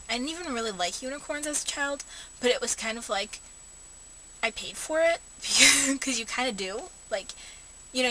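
A young woman rambling and joking about herself in a casual vlog, recorded from a parked car on a camera held low at arm's length. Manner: chatty, self-mocking, many fillers. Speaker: vlogger. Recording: noisy home camera recording.

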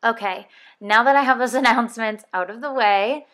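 A woman's voice: a little high, moderate pace, a bit bright. A woman in her early thirties hosting a podcast, talking casually and rambling a little, correcting herself and laughing as she goes. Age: 30s. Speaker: podcast co-host.

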